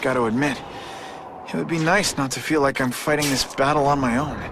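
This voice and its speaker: An actor playing a cocky, chatty young superhero who delivers sarcastic quips in a video game. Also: male voice, medium pitch, fairly even intonation, brisk, background noise, natural voice. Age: young adult. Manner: quippy, sarcastic.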